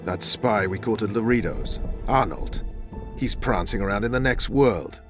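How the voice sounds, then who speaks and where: An actor playing a hard, blunt army captain and spymaster in a video game, sardonic and menacing. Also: male voice, lowish voice, moderate pace, natural voice. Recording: game music behind the voice.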